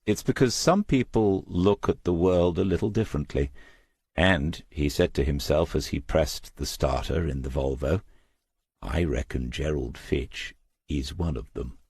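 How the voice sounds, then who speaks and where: A man narrating a crime novel as a scripted audiobook, in a measured, reflective storytelling manner. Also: rumbling low, a little mellow, slightly rough, dry recording, studio quality.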